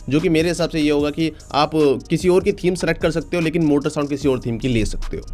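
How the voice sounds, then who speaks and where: A man with an Indian accent explaining things informally to viewers as a video host, talking to them like buddies. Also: sits high. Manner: colloquial, addresses viewers as buddies. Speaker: tech video host reviewing electric scooters.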